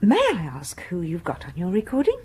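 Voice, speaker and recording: An actress in a radio horror drama playing a timid, eager middle-aged English spinster, breathy, apologetic and halting in manner. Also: female voice, on the low side, wildly swooping pitch, a little mellow, exaggerated voice. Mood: tentative, probing. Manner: flustered, deferential, halting.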